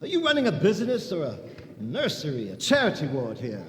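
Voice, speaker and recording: A sneering, miserly old villain acted by a man in a live radio play. His voice is fairly high and very animated, ringing in an echoey hall. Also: a little fast, slight background noise. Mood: contemptuous.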